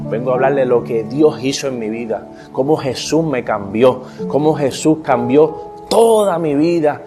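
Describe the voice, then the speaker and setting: A man with a Puerto Rican Spanish accent tells his testimony, earnest and deliberate, slowing down to quote scripture. His voice sits at an ordinary middle pitch. Music plays clearly underneath him. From Puerto Rico. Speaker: testimony speaker. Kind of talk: personal faith testimony.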